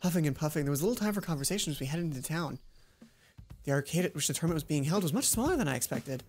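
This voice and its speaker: Woman, low pitch, let's play narration, expressive reading, playful, prominent background music. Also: rapid-fire. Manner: expressive, acts out dialogue, playful asides. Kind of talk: reading a visual novel aloud with commentary. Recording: music behind the voice. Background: game music.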